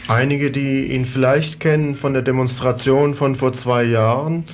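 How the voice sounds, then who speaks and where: A man's voice: mid-range voice, steady tone, normal speed, a little hiss or hum. A man hosting a radio programme, interviewing and reading out announcements. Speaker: radio host.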